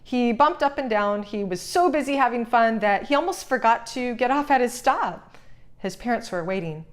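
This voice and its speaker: A woman reading a children's storybook aloud as narrator, expressive and suited to young listeners. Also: a little high, normal speed.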